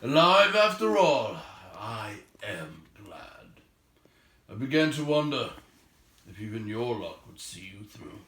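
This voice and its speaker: A man's voice: medium pitch, big pitch swings, slow pace, pushed and bright, echoey room, heavily acted. A man voicing an old wizard from a storybook, fond and grave, given to exclaiming. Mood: delighted, relieved.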